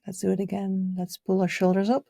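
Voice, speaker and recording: A woman leading a guided meditation in a gentle, soothing, unhurried way, her soft voice often trailing off toward a whisper. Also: medium pitch, completely flat delivery, dry recording, studio quality. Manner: soothing, gentle, unhurried, with audible sighs and breathy pauses. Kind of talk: guided meditation, live session.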